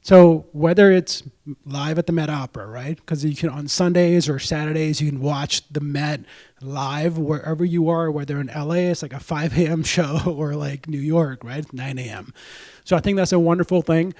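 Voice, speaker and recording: Man from India, guest speaker, slightly high voice, chatty, keeps asking "right?". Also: fairly even intonation, moderate pace, a little mellow. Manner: chatty, frequent "right?" asides. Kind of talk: casual talk to a club audience.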